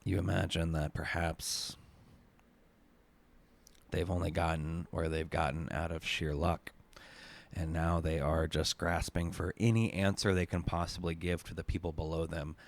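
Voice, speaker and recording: A man narrating a dramatic spoken-word piece in an intense, deliberate, emotive way with weighted pauses. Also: low-pitched, fairly even intonation, vocal fry. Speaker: narrator, essayist. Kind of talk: dramatic spoken-word storytelling in second person.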